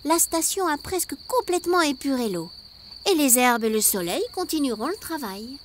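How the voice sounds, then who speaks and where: Tiny friendly cartoon spider: animated, high, enthusiastic teacher, over clearly noisy ambience. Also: female voice, moderate pace. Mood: explaining. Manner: enthusiastic, instructive, playful. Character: tiny lively friendly spider guiding children, explaining nature. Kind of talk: animated educational cartoon acting.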